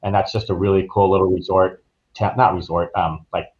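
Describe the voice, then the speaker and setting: Low-voiced American man, dull lo-fi sound. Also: fairly even intonation, a little fast, a little mellow. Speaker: travel agent guest. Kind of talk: conversational podcast interview. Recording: dull, lo-fi online call audio.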